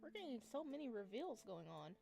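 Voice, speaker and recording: High-voiced woman doing game commentary. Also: very animated, a little fast, vocal fry, mellow. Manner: reactive, giggly, exclaiming. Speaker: game streamer. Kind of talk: visual novel playthrough commentary, reading text aloud. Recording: game music behind voice.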